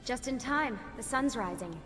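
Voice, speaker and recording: An actress playing a calm, businesslike leader of an assassin team in a video game cutscene, composed and directive. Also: female voice, fairly high pitch, normal speed, music in the background, natural voice. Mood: brisk. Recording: long hall reverb, clear background noise.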